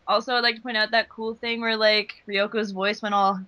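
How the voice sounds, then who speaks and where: A woman's voice: medium pitch, level tone, brisk, bright, forceful tone, no room sound. A woman talking informally as a voice actress and editor on a casual commentary track. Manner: informal, peppered with "like".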